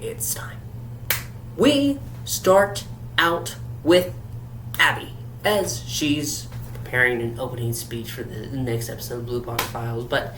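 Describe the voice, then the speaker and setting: Slow, halting man in an echoey room. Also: mid-range voice. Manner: halting, with stammered repeats. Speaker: host reviewing an audio drama. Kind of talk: solo review and episode recap. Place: echoey room away from usual setup. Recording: roomy echo with clear background hum.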